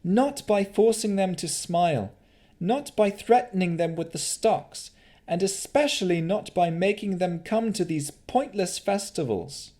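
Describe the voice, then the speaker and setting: A man's voice: high voice, a little slow, dark tone, pitched above the performer's own voice. A man voicing a defiant, upright woman deputy who speaks in a firm, earnest way.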